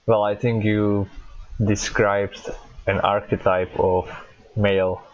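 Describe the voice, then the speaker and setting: A man hosting a podcast interview, speaking haltingly and often restarting words as he searches for phrasing. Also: lowish voice, little pitch movement, dull audio. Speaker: podcast host.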